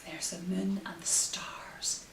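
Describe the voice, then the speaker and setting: A woman reading picture books aloud to young children, gentle and expressive, with drawn-out phrases and hushed asides. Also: on the low side, gravelly, in a whisper. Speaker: story time host. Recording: roomy, distant mic with faint hiss.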